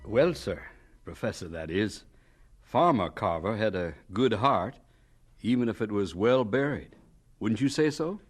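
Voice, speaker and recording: An actor playing a folksy, deferential man reminiscing in a radio drama, measured and prompting with questions. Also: lowish voice, animated, breathy edge, soft background music. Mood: reflective.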